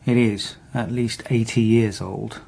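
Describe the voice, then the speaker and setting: An actor playing a calm, dry senior detective in a radio drama, husky and understated. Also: male voice, fairly low pitch, measured pace. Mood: calm, gently probing. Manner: understated, gently probing. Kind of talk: naturalistic radio drama acting.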